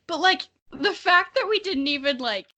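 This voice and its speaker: A woman's voice: very high-pitched, animated, moderate pace, sharp tone. A young woman co-hosting a podcast in casual chat, candid and self-mocking, with easy swearing. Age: young adult. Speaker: podcast co-host. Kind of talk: casual chat between friends.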